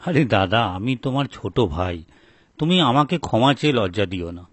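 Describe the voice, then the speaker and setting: A man's voice: mid-range voice, lively intonation, moderate pace, no room sound, close to an everyday voice. A man playing a humble, forgiving younger brother, a village farmer in a folk tale, speaking earnestly. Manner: earnest, conciliatory.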